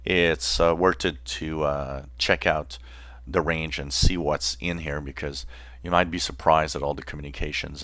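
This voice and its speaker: A man hosting a hobby channel, explaining informally in a halting way with pauses mid-phrase. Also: very deep voice, sharp tone, no echo. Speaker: host of a shortwave radio channel.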